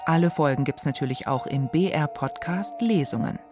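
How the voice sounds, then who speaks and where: A woman hosting radio with a scripted presentation, speaking pointedly with deliberate dramatic pauses. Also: sits low. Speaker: radio host. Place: radio studio. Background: music.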